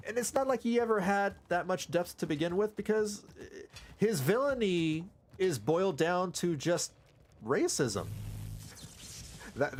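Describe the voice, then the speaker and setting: A man streaming a game, rambling casually with excited outbursts and stammering restarts. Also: fairly high pitch. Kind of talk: casual live gameplay commentary.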